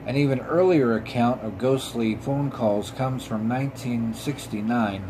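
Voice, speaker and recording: A man hosting a podcast, reading scripted paranormal stories in a halting way, stumbling over words and repeating them. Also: mid-range voice, noisy background. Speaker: podcast host.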